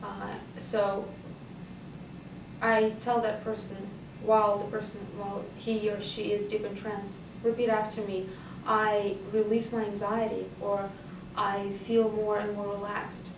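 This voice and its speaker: A woman's voice: mid-range voice, level tone, a little slow, reverberant. Russian lady answering interview questions, kinda distant mic, noisy room. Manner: thoughtful, hesitant with fillers. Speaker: hypnotist.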